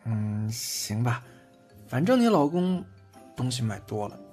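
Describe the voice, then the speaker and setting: A man's voice: mid-range voice, sing-song, a little slow, a little mellow, husky. Male actor as bashful young boyfriend, boyish, earnest, chatty, self-deprecating, audio drama, music underneath. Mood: cheerful. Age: young adult. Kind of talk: Chinese audio drama acting. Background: background music.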